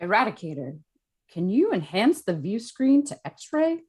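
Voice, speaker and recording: A woman playing a gruff, exasperated male superhero, with dry delivery, at an amateur table read. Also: mid-range voice, big pitch swings, a little slow, darkish tone.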